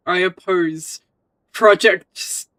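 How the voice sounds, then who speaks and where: Slow, giggly woman streamer. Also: lowish voice, a bit bright, no echo. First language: not Russian. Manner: giggly, slow, reads dialogue choices aloud. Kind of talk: casual livestream gameplay.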